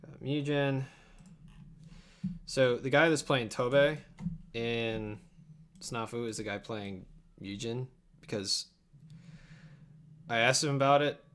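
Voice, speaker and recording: A man's voice: medium pitch, slow pace, pauses often, fairly bright tone, smooth. A young man chatting casually on a livestream while he works, mumbling and thinking aloud in a distracted way. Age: young adult. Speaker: livestreamer editing an abridged anime dub. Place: indoor home computer setup. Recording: faint keyboard and room noise.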